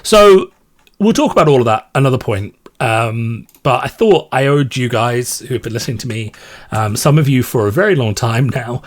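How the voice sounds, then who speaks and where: A man from Ireland, talking casually and unscripted to his subscribers as a podcast host, in a rambling, self-deprecating way. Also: medium pitch. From Ireland, born in London and raised in Limerick from age eight. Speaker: podcast host and content creator.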